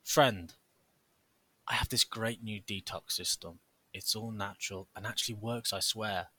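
A man's voice: lowish voice, fairly even intonation, unhurried, bright, forceful tone, a little hiss or hum. A man co-hosting a podcast, theatrical, jokey and sarcastic in casual chat. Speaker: podcast co-host.

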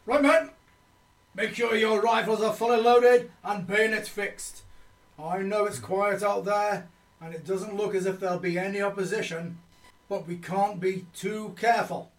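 Man as a distant, high-voiced army sergeant. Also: steady tone. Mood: commanding. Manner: commanding, brisk. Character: brisk army sergeant rallying his men. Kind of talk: radio drama acting. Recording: distant microphone, faint noise, clicks.

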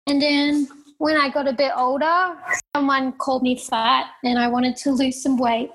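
A young Australian woman talking about her life in plain, halting answers as an interview guest. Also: sits high, little pitch movement, a bit bright, rough recording. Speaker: guest, professional fighter.

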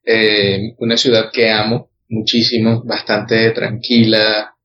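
A man with a Venezuelan accent, a guest photographer telling his story in a relaxed way, with many hesitations and repeated words. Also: on the low side, takes it slowly, lo-fi sound, slight background noise. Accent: Venezuelan Spanish. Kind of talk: casual interview chat.

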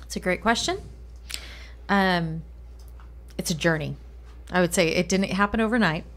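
A woman's voice: fairly low pitch, normal speed. Reflective woman guest telling her story. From Colombia. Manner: reflective, with frequent fillers and restarts. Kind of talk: casual interview.

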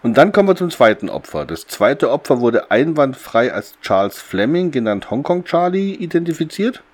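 A man's voice: medium pitch, big pitch swings, moderate pace, husky. Man chatting casually as podcast co-host. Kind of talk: casual chat recapping a radio play.

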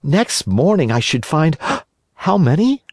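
A storybook reader voicing a naive, excitable wooden puppet boy, full of wonder. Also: mid-range voice, takes it slowly, no room sound, clean studio sound, voice pushed up, heavily acted. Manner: wondering, eager.

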